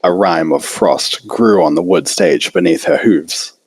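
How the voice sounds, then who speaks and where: A man's voice: low voice, very animated, unhurried, gravelly, darkish tone, husky, no echo. A man reading fan fiction aloud as an amateur story narrator.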